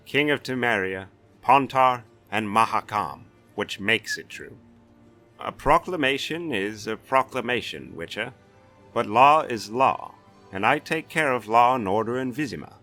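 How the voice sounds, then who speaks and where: A man's voice: medium pitch, sharp tone, voice pushed up. A man voicing a blustering, sardonic, authoritative castellan in a fantasy audiobook. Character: blustering, weary-wry male castellan of a fantasy city.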